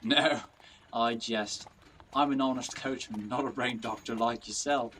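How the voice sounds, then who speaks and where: A man's voice: medium pitch, fairly even intonation, measured pace, fairly bright tone, a little hiss or hum, natural voice. A man playing a humble, good-humoured coachman in a radio drama, polite and deferential. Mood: jovial. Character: humble, genial male coachman, deferential and joking.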